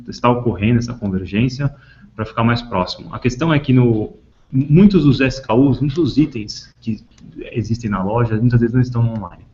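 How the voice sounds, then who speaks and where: A man's voice: mid-range voice, dark tone. Man, Brazilian accent, business panelist, hesitant explanatory talk, muffled lo-fi call audio. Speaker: panelist answering questions. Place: conference call. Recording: dull lo-fi conference call audio.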